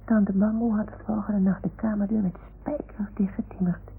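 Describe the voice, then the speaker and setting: Woman playing an earnest little boy, creaky, old radio. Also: mid-range voice, little pitch movement, normal speed, close to an everyday voice. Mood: defensive, confiding. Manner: childlike, earnest, repeating adults' words. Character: imaginative young boy talking to his toy animals. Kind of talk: 1960s Dutch radio play acting. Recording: old radio recording, phone-like band, noise.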